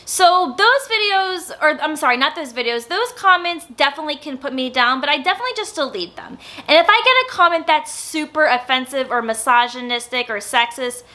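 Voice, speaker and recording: A young woman in a casual sit-down vlog, talking loudly and openly, with some stammering and lots of filler words. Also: high-pitched, lively intonation, a little fast, hardly any pauses. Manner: naturally loud, with stammers and many filler words. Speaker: YouTuber. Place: indoors, sit-down filming setup.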